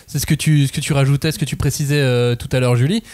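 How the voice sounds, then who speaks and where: A man hosting a podcast, leading a relaxed round-table with many hesitations. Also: mid-range voice, quick pace. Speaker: podcast host. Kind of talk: casual podcast discussion.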